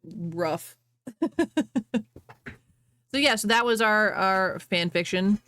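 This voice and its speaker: A woman's voice: mid-range voice, takes it slowly, bright, forceful tone. A woman livestreamer chatting casually with viewers and reading fan fiction aloud.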